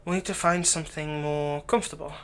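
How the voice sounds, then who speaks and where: A man playing a doting, lightly teasing fantasy villain captor in an ASMR roleplay, speaking softly and tenderly, coaxing the listener without hurry. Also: a little high, steady tone, slight background noise, natural voice. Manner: soft, coaxing, unhurried, tender.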